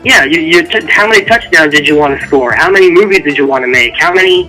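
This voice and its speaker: A man's voice: fairly high pitch, animated, fast talker, pushed and bright, soft background music, rough recording. A man speaking as an author guest in a casual interview, rambling and conversational.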